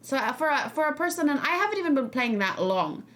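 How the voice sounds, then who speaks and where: A woman's voice: sits high, big pitch swings, fast, fairly bright tone. A woman hosting a casual livestream discussion, rambling and hesitant as she thinks aloud and talks with her viewers. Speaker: streamer host.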